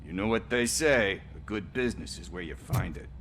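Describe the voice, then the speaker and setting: An actor playing a chatty, evasive street hustler who talks in wheedling casual slang. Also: male voice, low voice, animated, normal speed, background noise. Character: shifty street hustler, middle-aged man, chatty and evasive.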